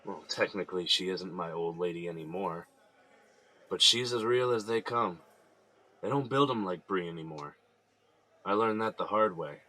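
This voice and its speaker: A man's voice: low voice, moderate pace, pauses often, pushed and bright, low-quality recording. A man playing an earnest, weary defendant held in jail in an audio drama, speaking reflectively. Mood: wistful.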